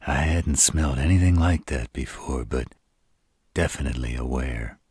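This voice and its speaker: A man playing a gruff, wisecracking, loyal shapeshifter bodyguard who talks bluntly in a roleplay audio drama. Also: extremely low pitch, normal speed, a little mellow, dry recording, clean studio sound, full character voice. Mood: matter-of-fact. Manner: blunt, colloquial, sardonic.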